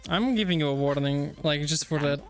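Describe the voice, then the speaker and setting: High-voiced laughing male streamer. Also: brisk, soft background music. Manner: laughing, teasing, bossy. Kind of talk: casual chat while playing a game.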